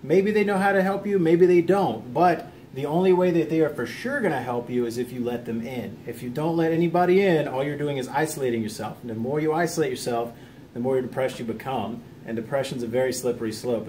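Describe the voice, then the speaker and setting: A man's voice: medium pitch, a little fast, darkish tone, slight background noise. An American man giving an informal instructional vlog talk in an earnest, plain-spoken, encouraging manner. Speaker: video host teaching self-help lessons.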